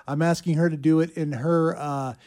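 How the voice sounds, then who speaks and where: A man co-hosting a morning radio show in casual, conversational banter, with pauses to think as he goes. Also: a little high, steady tone, darkish tone.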